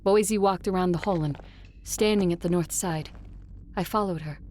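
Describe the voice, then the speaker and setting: An actress plays a woman conqueror recounting her quest in an audio drama. Her voice sits a little low, with some noise behind it. She narrates in the first person and speaks with the other characters. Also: moderate pace, darkish tone, natural voice. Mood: measured narration.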